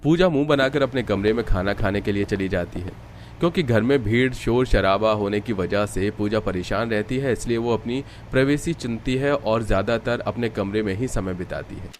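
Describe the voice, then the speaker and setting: A man narrating a scripted animated family story. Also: on the low side, normal speed, soft background music.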